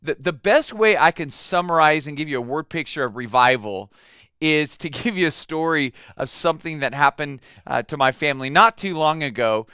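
A man preaching a sermon with a bright, forward voice and crisp diction. He sits a little high in pitch and talks conversationally, stumbling over and repeating words as he tells a story. The sound is dry and close. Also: lively intonation, moderate pace, no room sound. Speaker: pastor.

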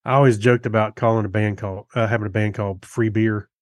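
A man co-hosting a podcast in casual chat, reading things out in a halting, easygoing way. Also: lowish voice, little pitch movement, no echo, studio quality. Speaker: podcast co-host.

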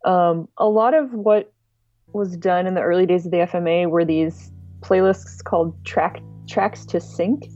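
A woman giving measured, explanatory answers as a guest in a podcast interview. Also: lowish voice, warm tone, faint music behind. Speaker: podcast guest, music archive director. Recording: dull lo-fi sound.

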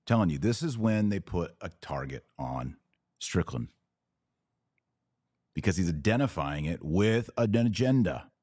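Male podcast host, low voice in a dry, close space, emphatic informal commentary. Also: lively intonation, measured pace, long pauses, mellow, breathy edge, no room sound. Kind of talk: informal commentary on a played clip.

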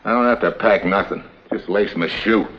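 Actor as clipped, tough American investigator, dull vintage soundtrack. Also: male voice, lowish voice, moderate pace, background noise, natural voice. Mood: guarded, gruff. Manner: clipped, slangy tough talk. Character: tough American investigator posing as a deported racketeer. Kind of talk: 1950s detective drama acting.

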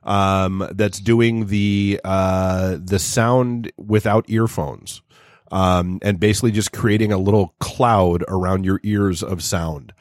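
A man's voice: low-pitched, steady tone, slow pace, no room sound, studio quality. An American man co-hosting a tech talk show, chatting casually in an informal, amused way with some casual swearing. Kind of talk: casual chat.

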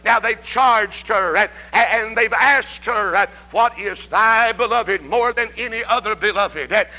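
Male revival preacher, Southern accent, very high forceful voice, fervent and emphatic delivery with rhythmic "uh" breaks. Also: measured pace, rough recording. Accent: Southern American. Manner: emphatic, swinging between shouted cries and hushed pleading; punctuates phrases with a rhythmic "uh". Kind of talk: fervent old-time revival sermon.

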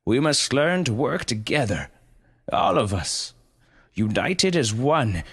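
A man voicing a huge male panda leader who speaks in slow, solemn, measured speeches. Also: medium pitch, fairly bright tone, voice pushed up, exaggerated voice.

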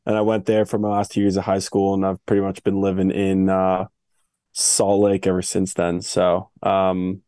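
A young man who grew up in Switzerland, answering interview questions in a relaxed, easygoing way with many fillers. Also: sits low, normal speed, dry recording, clean studio sound. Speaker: guest, pro skier.

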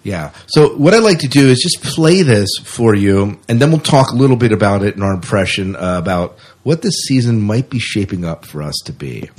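A man's voice: fairly low pitch, animated, brisk, few pauses, darkish tone. A man hosting a podcast in a casual, conversational style. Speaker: podcast host.

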